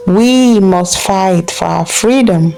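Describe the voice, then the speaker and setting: A woman voicing a brave, resolute warrior king in a folktale, speaking solemnly and deliberately. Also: medium pitch, creaky, dark tone, soft background music, rough recording.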